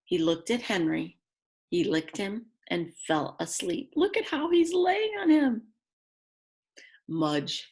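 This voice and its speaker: A woman reads a children's story at a slow, deliberate pace in a mid-range voice. She leans on key words for drama and drops in simple, chatty remarks for her young listeners. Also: mid-range voice, very animated, a little mellow. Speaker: children's read-aloud storyteller. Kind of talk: expressive picture-book reading with asides to young listeners.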